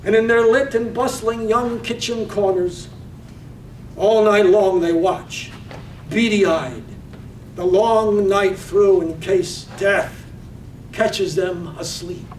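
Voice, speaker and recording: A man playing the lyrical, measured narrator in a staged reading of a poetic radio drama. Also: high-pitched, steady tone. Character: lyrical, measured storyteller of a night-time town. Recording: roomy, distant mic, faint noise.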